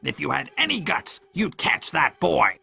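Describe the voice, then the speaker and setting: A man's voice: on the high side, wildly swooping pitch, unhurried, pitched above the performer's own voice, full character voice. A man voicing a cruel, furious old blind pirate villain who barks and bullies in an audiobook adventure story. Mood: furious, scornful. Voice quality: gravelly, harsh shouting. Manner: bullying, barking exclamations.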